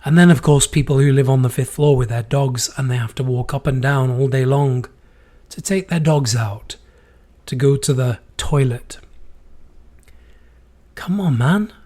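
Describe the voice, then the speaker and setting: A man hosting his own podcast while sick and losing his voice, reading a poem slowly and then ranting about everyday habits. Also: mid-range voice, mellow. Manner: slow deliberate reading, then opinionated ranting. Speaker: podcast host. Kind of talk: solo podcast with poetry reading and rants.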